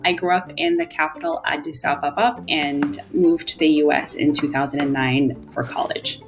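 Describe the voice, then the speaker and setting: A woman's voice: low voice, steady tone, normal speed, rough recording. A woman in her thirties from Ethiopia, a home cook narrating how to make a breakfast dish and sharing memories of home. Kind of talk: recipe video narration. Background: soft background music.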